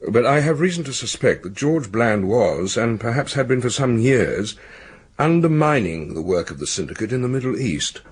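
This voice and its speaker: A haughty british academic boss, formal and clipped, bit raspy but lively pitch, radio drama. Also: male voice, normal speed. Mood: guarded. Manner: formal, clipped, self-important. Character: proud, stiff male academic in charge of an institution.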